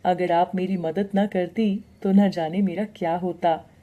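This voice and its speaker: A woman's voice: fairly low pitch, steady tone, a little slow, narrow, tinny audio, lightly acted. A woman playing a grateful young male doctor in a story, speaking politely and with care. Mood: grateful, concerned. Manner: polite, caring.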